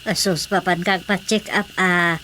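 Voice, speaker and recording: A woman's voice: lowish voice, steady tone, a little slow, sharp tone, a little hiss or hum. A woman acting a concerned, prying married aunt in a radio drama, questioning and insistent with an Ilocano accent.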